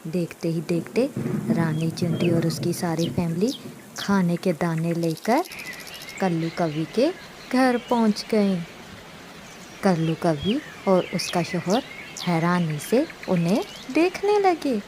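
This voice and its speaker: A woman's voice: fairly low pitch, animated, slow, background noise. A woman narrator reading a scripted moral story for a cartoon in storybook style.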